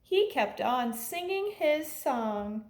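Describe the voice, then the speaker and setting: High-pitched, very animated woman reading to children. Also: takes it slowly. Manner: animated, simple phrasing addressed to children. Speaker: teacher reading a picture book to young children. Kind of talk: children's read-aloud, with sung refrains and asides to listeners. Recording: echoey room with faint background noise.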